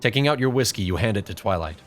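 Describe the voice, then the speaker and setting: A man's voice: on the low side, lively intonation, quick pace. A man reading scripted second-person story narration as the narrator of a fan fiction drama.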